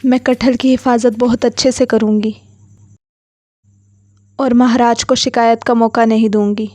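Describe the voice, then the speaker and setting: A woman's voice: fairly high pitch, little pitch movement, measured pace, warm tone, dry recording, close to an everyday voice. A woman voicing a loyal, earnest cow who serves a king in a children's story. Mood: proud, admiring. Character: loyal, earnest female cow, a trusting royal servant. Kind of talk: children's story character acting.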